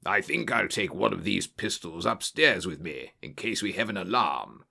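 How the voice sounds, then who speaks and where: A man voicing a bluff, genial old-soldier colonel, an English country gentleman, in an audiobook story. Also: low-pitched, lively intonation, moderate pace, gravelly, pitched below the performer's own voice, exaggerated voice. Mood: conversational, bluff.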